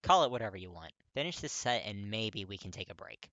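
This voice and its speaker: Man voicing a blunt, forceful, awkward teenage boy. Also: on the low side, lively intonation, a little fast, dry recording, lo-fi sound. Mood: gruff, defensive. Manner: terse, blunt.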